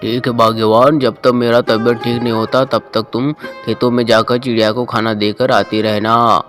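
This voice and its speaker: A man voicing a kindly, generous village farmer in a cartoon moral story, with a gentle, concerned manner. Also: mid-range voice, flat delivery, moderate pace, natural voice. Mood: ailing, asking. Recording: music bed under the voice.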